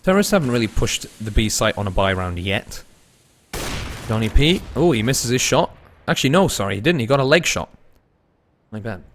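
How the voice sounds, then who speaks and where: Male esports caster over noisy game sound. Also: fairly quick. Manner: swings between excited play-calling and low-key rambling. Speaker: solo esports commentator. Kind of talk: live game commentary mixed with casual chat to viewers. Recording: noisy with game gunfire and clicks. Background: game gunfire and clicks.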